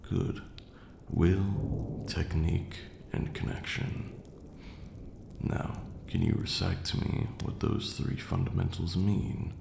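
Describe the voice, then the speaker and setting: A man playing a stern, aloof vampire lord in an ASMR roleplay, speaking in a formal, haughty way with a gravelly, breathy near-whisper. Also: deep voice, steady tone, darkish tone, exaggerated voice. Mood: patient, instructive. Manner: formal, haughty, slow scolding lecturing delivery. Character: stern aloof ancient male vampire lord, grudgingly caring. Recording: roomy echo, clear background noise.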